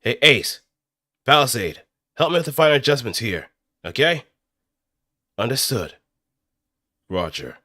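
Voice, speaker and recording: A man voicing a brisk, commanding mecha pilot giving clipped callouts in the style of anime game dialogue. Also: mid-range voice, sing-song, pushed and bright, no room sound, studio quality, voice pushed up, full character voice.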